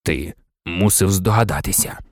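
Woman doing a serious quiet guy in an audiobook, low soft measured voice, resolute, dry recording. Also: big pitch swings, creaky, breathy edge, no echo, heavily acted. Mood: quiet menace. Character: quiet, grave, resolute man.

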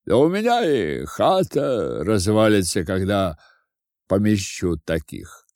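A gruff old peasant woman voiced by a man from a storybook. She grumbles suspiciously at strangers, taking her words slowly, in a close, dry recording. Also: fairly low pitch, sing-song, a little mellow, no echo, voice pushed down, heavily acted. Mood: grumbling refusal. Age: older. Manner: grumbling, slow and deliberate.

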